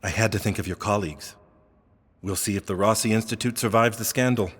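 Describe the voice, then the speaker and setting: A man playing a composed, principled institute head in an audio drama, husky and measured, in a reverberant space. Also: on the low side, a bit bright. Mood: restrained sympathy. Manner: measured, formal, restrained. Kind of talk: scripted audio drama acting. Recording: long reverberant hall.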